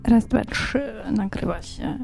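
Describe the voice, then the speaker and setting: A woman's voice: on the high side, lively intonation, slow, creaky, darkish tone, soft background music. A woman chatting casually and testing a microphone during a recording setup. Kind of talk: casual studio chatter and mic checks.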